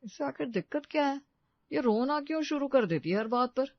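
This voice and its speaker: महिला की आवाज़ में ऊँचे स्वर वाला चिढ़ा हुआ पति. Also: female voice, high voice, animated, a little fast, no echo, voice pushed up. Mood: irritated. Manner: exasperated, complaining. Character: irritated, baffled young husband. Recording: slightly dull, dry recording.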